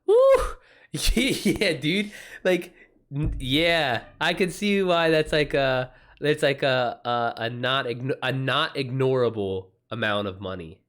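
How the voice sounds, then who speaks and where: A man's voice: medium pitch, slow, fairly bright tone. A man hosting a livestream in a casual, informal style, reacting to chat comments with exclamations and swearing. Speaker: streamer, author hosting a live chat.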